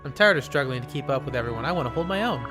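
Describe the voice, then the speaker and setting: A perky young princess, as a man puts her on, eager and bright in a lifted voice. She talks quickly and cheerfully. Game music plays in the background. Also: mid-range voice, expressive, lightly acted. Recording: music playing behind.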